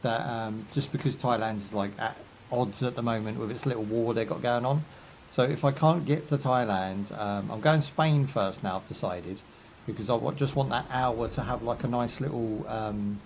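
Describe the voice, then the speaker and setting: A young British man talks his way through a live game stream, drifting between jokes and side stories with plenty of ums and you-knows. His voice sits at an ordinary middle pitch. A faint hiss of background noise runs under the desk mic. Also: moderate pace, a little mellow. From United Kingdom. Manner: chatty, rambling asides with jokes and fillers. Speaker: gaming streamer. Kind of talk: casual live gameplay commentary.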